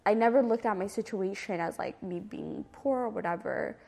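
Young woman, creaky voice in echoey room. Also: mid-range voice, normal speed, a little mellow. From Ethiopia, raised in San Diego from age nine. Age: 30s. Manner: informal, reflective, hesitant. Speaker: interviewee. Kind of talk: oral history interview, casual recollection. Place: community center room. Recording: long reverberant hall-like echo.

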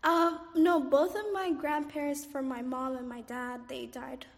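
A young woman with a high, light voice, from Somalia by way of Cairo, talks through her memories in an unhurried, hesitant way, filling pauses with "um" and "like." Her voice carries a long reverberant tail, as if she were in a large room. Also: flat delivery, vocal fry. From Somalia, raised in Cairo, Egypt. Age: 20s. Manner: hesitant, frequent "um" and "like", soft laughs. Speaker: oral history interviewee. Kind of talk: casual personal recollection.

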